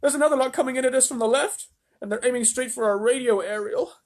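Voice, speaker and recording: A man doing panicking astronauts shouting. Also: very high voice, pitched above the performer's own voice. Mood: desperate shouting. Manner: shouting, rushed, desperate. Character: panicking male astronauts on radio.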